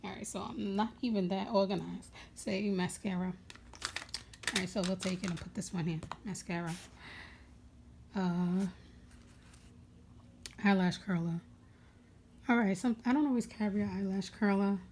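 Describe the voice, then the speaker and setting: A young woman with a raspy voice chatting casually at home, rambling with many fillers as she goes through her makeup bag. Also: medium pitch, fairly even intonation, moderate pace, long pauses, a little mellow, slight background noise. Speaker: beauty vlogger.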